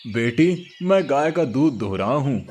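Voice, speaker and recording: A man's voice: medium pitch, lively intonation, a little slow, a little mellow, a little hiss or hum, natural voice. A man voicing a patient, fatherly old cowherd grandfather in a cartoon story. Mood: gentle. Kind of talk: Hindi cartoon moral story dialogue. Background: music.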